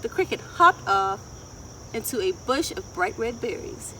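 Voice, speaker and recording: High-voiced woman storyteller, outdoors off mic. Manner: expressive, asks listeners questions, deliberate phrasing. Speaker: children's storyteller. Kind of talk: reading a picture book aloud to children. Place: outdoors in a wooded garden. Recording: outdoor, distant mic, ambient insect noise. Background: insects and outdoor ambience.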